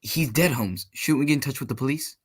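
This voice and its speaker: A man playing an earnest, loyal gentleman sidekick who also narrates an old-time radio mystery. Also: medium pitch, fairly quick, husky, lightly acted. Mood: alarmed. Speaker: actor in a student radio drama.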